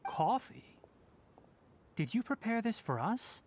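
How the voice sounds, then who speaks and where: A man voicing a calm, principled young male forest ranger and scholar in a video game, speaking in a measured, thoughtful way. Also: high voice, very noisy, full character voice.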